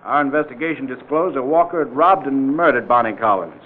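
A man's voice: medium pitch, a little fast, a little hiss or hum, natural voice. An actor playing an indignant, pompous small-town doctor in a mid-century radio drama, protesting on behalf of his town. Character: indignant small-town male doctor defending the police chief. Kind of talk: 1940s-50s radio drama acting. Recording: tinny, band-limited vintage radio recording.

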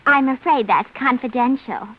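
An actress playing a naive, affectedly refined American dame in vintage radio comedy, polite and genteel. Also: female voice, high-pitched, moderate pace. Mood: coolly polite. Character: prim, naive, chirpy dame who fancies herself refined. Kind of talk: 1940s-50s radio comedy-adventure acting. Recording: dull old radio recording, faint noise.

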